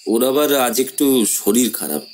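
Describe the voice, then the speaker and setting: An actor playing a composed, persuasive séance organiser who explains earnestly in an audio horror drama. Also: male voice, mid-range voice, expressive, measured pace, a little mellow, a little hiss or hum, lightly acted. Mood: calm explaining.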